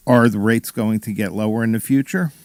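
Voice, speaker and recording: A man appearing as a mortgage lender guest on a talk show, speaking in a measured, matter-of-fact way. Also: lowish voice, no room sound. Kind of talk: casual talk radio chat.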